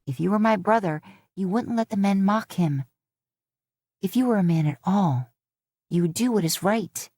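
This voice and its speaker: A woman's voice: medium pitch, animated, normal speed, dry recording, studio quality, close to an everyday voice. A woman playing a fierce, proud young woman. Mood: angry. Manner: sharp, forceful.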